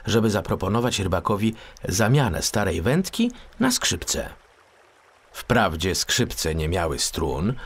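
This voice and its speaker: A man narrating a children's story as an audiobook, in an expressive storytelling style. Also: fairly low pitch.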